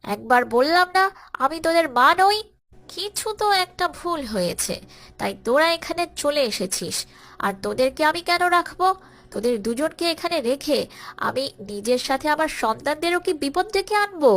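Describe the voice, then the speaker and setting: A woman voicing an anxious, protective cartoon mother hen who scolds fretfully but has a soft heart. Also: high voice, animated, moderate pace. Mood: scolding. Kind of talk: children's cartoon voice acting.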